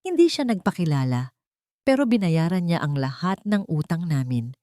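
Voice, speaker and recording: A woman's voice: on the low side, sing-song, normal speed, mellow, no echo, clean studio sound. A woman voicing a serious, emotional elderly mother-in-law who confides with worry and remorse in a narrated story. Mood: remorseful confession.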